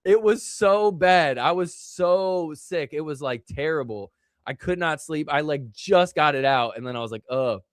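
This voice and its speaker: Man, live stream host, slightly high voice, rambling with fillers, recovering voice, dry close sound. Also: measured pace, no echo. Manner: rambling, filler-heavy, reads chat aloud. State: recovering from illness and voice loss. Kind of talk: casual live stream chat.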